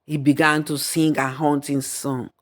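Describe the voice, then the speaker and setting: A woman narrating a folktale in a deliberate, dramatic storyteller's manner. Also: low-pitched, flat delivery. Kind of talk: scripted folktale narration with acted dialogue and song.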